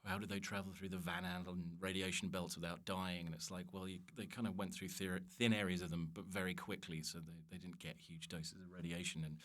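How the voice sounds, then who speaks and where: A man chatting casually as a comedian guest on a podcast, telling self-mocking stories in an animated way. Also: low voice, brisk, few pauses. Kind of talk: casual comic storytelling chat.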